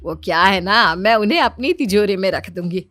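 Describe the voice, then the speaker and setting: A woman's voice: medium pitch, lively intonation, normal speed, fairly bright tone, dry recording, lightly acted. A woman voicing a greedy, crafty middle-aged mother-in-law in a cartoon story, bossy and wheedling. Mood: sly, wheedling.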